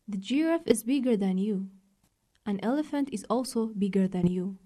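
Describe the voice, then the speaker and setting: A woman's voice: mid-range voice, animated, normal speed, warm tone, close to an everyday voice. A woman voicing a cheeky, friendly farm cat in a children's story, coaxing and teasing.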